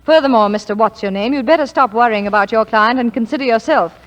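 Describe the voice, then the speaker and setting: An actress plays a brisk, authoritative lady doctor in a 1940s radio drama. Her voice is fairly high, with crisp, dry wit. A long reverb hangs over the broadcast. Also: highs cut off, natural voice. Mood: indignant, firm. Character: brisk, cool, dryly witty lady doctor.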